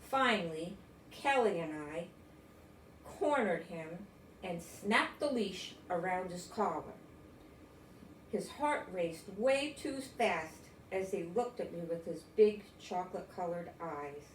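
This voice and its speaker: Older american lady reading a kids story out loud, expressive, echoey room, little bit of noise. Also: on the low side, slow pace. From United States. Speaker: storybook reader. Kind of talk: expressive children's story read-aloud. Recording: roomy, distant mic, faint noise.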